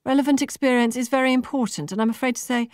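Confident young female recruiter for an audiobook, bright-mannered, chirpy, condescending, pitched up, acted by a woman reader. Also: fast, dry recording, studio quality, close to an everyday voice. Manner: chirpy, polished, patronising.

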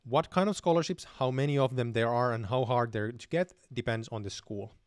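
A man's voice: mid-range voice, a little fast, no echo, clean studio sound. Young man hosting a live Q&A. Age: young adult. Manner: relaxed, many fillers and restarts, reads chat questions aloud. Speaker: channel host answering viewer questions. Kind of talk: live stream Q&A, casual. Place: dim home room with shelves. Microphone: studio mic on boom arm close to mouth.

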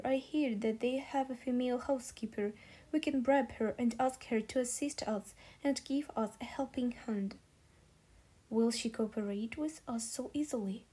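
A menacing male kidnapper from a manga dub, acted out by a woman. The thug speaks slowly in a soft-edged, mellow tone. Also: a little high, breathy edge, pitched below the performer's own voice. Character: menacing male kidnapper, thuggish underling and boss.

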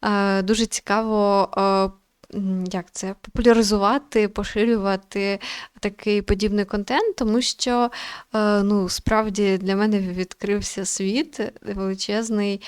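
A woman's voice: medium pitch, smooth, dry recording, clean studio sound. A young adult woman giving casual let's-play commentary as a gaming host, thinking aloud in an informal, hesitant way.

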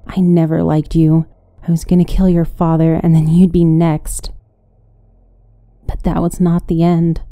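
A woman tells a scripted horror story in the first person. Her voice is very dark and mellow and sits a little low, recorded dry with no room sound. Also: no echo. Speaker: guest story narrator.

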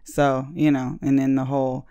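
A woman in her thirties with a very deep voice, chatting casually and laid-back as a podcast guest, slangy and cursing freely. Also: level tone, moderate pace, mellow, no echo. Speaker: guest co-host.

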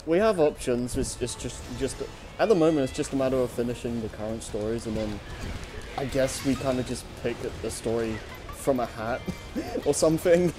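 A man co-hosting a show, chatting casually and unscripted in a hesitant, halting way. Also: medium pitch, animated, music in the background. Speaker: co-host of a story-reading show. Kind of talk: casual unscripted chat over gameplay.

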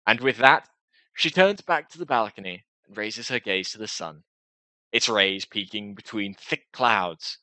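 A young man streaming a game, reading its narration aloud in a headset and breaking off into quick casual asides. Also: lowish voice, sharp tone, dry recording, poor audio. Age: young adult. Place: small room at home.

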